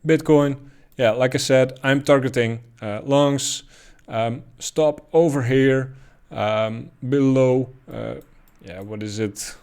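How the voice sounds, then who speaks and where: A man with a Dutch accent talks through trading charts at an easy, unhurried clip. His pitch sits in a middle register, and he fills pauses with ums and uhs as he thinks out loud. It sounds like a relaxed voice-over recorded at a home desk. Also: clear, resonant tone. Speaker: crypto chart analyst. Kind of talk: casual market commentary over charts.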